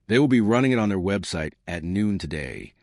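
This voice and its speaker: A man reading the lines of a resolute woman publicly exposing her abusive husband, with measured, accusing delivery. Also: deep voice, expressive, dry recording, studio quality. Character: steely Nigerian woman exposing her abuser.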